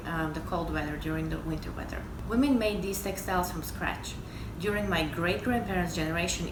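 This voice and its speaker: A woman from Bulgaria who speaks English as an adopted language, calmly introducing her embroidery channel in a measured, thoughtful way. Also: lowish voice. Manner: measured, thoughtful, with occasional fillers. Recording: echoey room with steady background noise.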